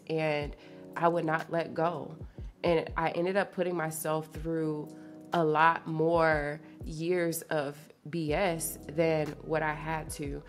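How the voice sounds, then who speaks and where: A woman in her late twenties with a congested sound, chatting casually and confidingly as a solo podcast host in slangy, informal language. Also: low-pitched. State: congested from allergies. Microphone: podcast microphone at a desk.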